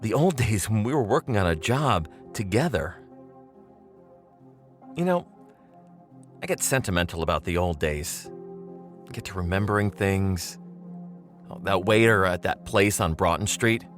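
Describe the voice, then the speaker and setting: An actor playing a chummy old pal with veiled menace in an audio drama monologue, talking straight to the listener in a rambling, needling, familiar way. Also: male voice, low voice, expressive, measured pace, long pauses, soft background music. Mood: chummy, insinuating. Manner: conversational, rambling, chuckling, pointedly familiar.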